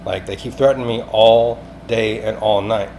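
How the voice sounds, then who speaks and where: A man's voice: on the low side, steady tone, moderate pace, a little mellow. A man leaving a rambling voicemail, pleading in an agitated, insistent, repetitive way with some swearing. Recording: clearly noisy amateur phone-call recording.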